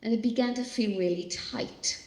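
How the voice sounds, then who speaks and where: An older woman pastor from Australia giving an animated, storytelling church talk on coping with stress. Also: fairly high pitch, normal speed. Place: large hall. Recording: echoey hall, long reverb.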